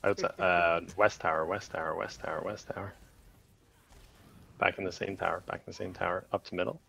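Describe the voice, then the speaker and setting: A man leading a raid group over voice chat, calling short commands in rapid repeated bursts with countdowns. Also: sits low, little pitch movement, gravelly, a bit bright, slight background noise.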